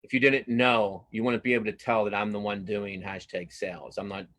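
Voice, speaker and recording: Low-voiced man chatting casually, haltingly. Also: little pitch movement, a bit bright. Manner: halting, rambling, self-correcting with repeated phrases. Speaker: interview guest, cartoonist. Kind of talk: casual interview chat. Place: live online video conversation.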